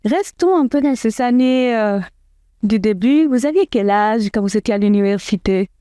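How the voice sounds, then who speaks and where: Animatrice à la voix douce et chaude. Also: female voice, a little high, lively intonation, warm tone, clear, resonant tone, no room sound, clean studio sound. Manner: earnest, questioning, some filler pauses. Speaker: podcast host. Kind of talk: interview hosting.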